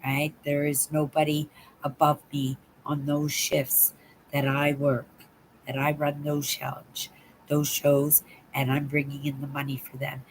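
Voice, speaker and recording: An older woman from Canada hosting a livestream, chatting casually with viewers in a hoarse voice, rambling and tagging "right?" onto her sentences. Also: low-pitched, monotone, a little slow, a little hiss or hum, rough recording. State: hoarse from a sore throat. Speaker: livestream host. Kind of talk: casual live chat to viewers.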